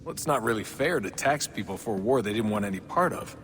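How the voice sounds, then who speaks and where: An actor playing an easygoing young modern-day hero in a video game, plain-spoken and quietly challenging. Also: male voice, lowish voice, expressive, fast talker, a little hiss or hum. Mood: calm, questioning. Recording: long hall reverb, music behind.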